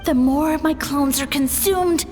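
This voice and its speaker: Woman voicing a pompous, high-voiced little mushroom lord. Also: unhurried, darkish tone, long reverb tail, soft background music. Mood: aggrieved, scheming. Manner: pompous, theatrical, imperious. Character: pompous male mushroom lord, small, grandiose, aggrieved schemer. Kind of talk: video game character acting.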